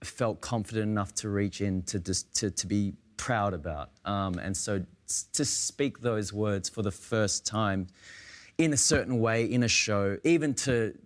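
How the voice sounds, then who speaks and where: An Australian man, an actor on a roundtable, speaking in a searching, halting way with many restarts. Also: fairly low pitch. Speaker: actor guest. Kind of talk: roundtable conversation.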